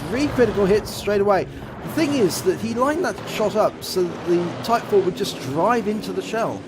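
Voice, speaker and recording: A man commentating on a tank game replay, a bit rough and nasal from a cold, explaining each shot and the damage it does. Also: high-pitched, animated, moderate pace, noisy background. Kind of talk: gameplay commentary over a replay. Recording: loud game battle sounds behind voice. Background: tank game gunfire and engines.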